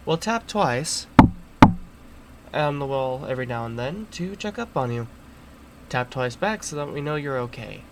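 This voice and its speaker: A man playing a gruff, weary prison transport guard in an audio roleplay, blunt and sardonic. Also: mid-range voice, a little hiss or hum. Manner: blunt, sardonic, foul-mouthed.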